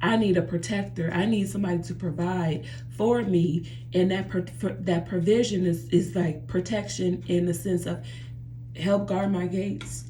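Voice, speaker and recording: A woman with a mellow, dark-toned voice speaks earnestly to her viewers, looping back over her words and calling them "y'all." She records on a phone in a hard-walled bathroom that rings with echo. A faint hum sits underneath her. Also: lowish voice, steady tone, normal speed. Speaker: vlogger. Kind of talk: reflective personal vlog. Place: home bathroom by a running jacuzzi.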